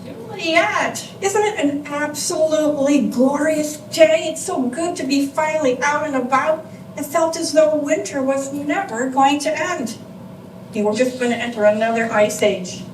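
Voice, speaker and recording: An older woman playing a cheerful, concerned elderly friend in an expressive readers theatre stage reading. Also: sits high, unhurried. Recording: distant mic in echoey room, background noise.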